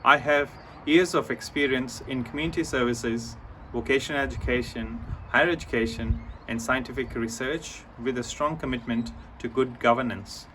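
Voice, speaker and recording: A man from India gives a measured, prepared introduction and lists his points one after another. He sits a little away from the mic. A faint hum of traffic and outdoor air runs behind him. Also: mid-range voice, fairly bright tone, distant mic, poor audio. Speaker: new board member.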